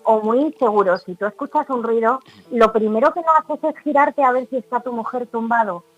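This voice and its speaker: Female panelist, Spain accent, higher-pitched, opinionated and conversational. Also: brisk, phone-quality sound. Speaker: radio panelist. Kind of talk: conversational case commentary.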